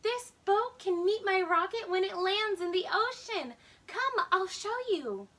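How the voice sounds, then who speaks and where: A woman voicing an eager, playful young boy alligator in a children's storybook, with an excitable manner. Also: very high-pitched, unhurried, distant mic, pitched above the performer's own voice, full character voice. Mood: excited.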